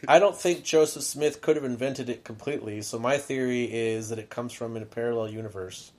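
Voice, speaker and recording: A man's voice: mid-range voice, brisk, darkish tone. Rambling, laid-back podcast host man. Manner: rambling, filler-heavy, often laughing. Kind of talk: casual chat.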